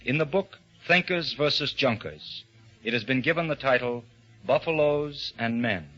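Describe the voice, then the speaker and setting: A man's voice: medium pitch, moderate pace, bright, forceful tone, faint music behind. A man making a scripted introduction as a 1940s radio announcer. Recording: muffled vintage 1940s radio recording.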